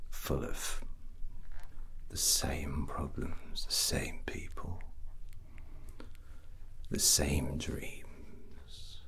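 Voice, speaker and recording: A man playing a drunken, brooding, scheming fantasy prince in a whispered ASMR roleplay, halting and musing with a breathy, hushed voice. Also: a bass voice, heavily acted. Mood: bored, jaded. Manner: slow, halting, musing, with long pauses.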